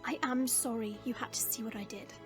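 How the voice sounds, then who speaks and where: Woman playing a high-voiced, formal dragon princess. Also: fairly even intonation, fairly quick, soft background music, lightly acted. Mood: solemn, reassuring. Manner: formal, stately phrasing. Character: powerful fire-wielding dragon princess, formal, proud, protective. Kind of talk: audio drama acting.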